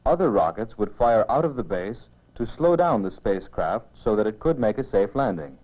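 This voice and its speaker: Old educational film narrator guy, lowish voice, explains things steadily, muffled vintage soundtrack. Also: animated. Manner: measured, explanatory. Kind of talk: scripted mid-century educational film narration.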